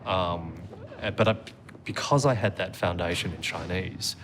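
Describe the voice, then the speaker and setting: A middle-aged man, telling stories reflectively as an interview guest, with frequent pauses and fillers. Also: sits low, a bit bright, slight background noise. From Australia. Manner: reflective storyteller, measured with frequent "um"s. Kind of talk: conversational interview. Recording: music playing underneath.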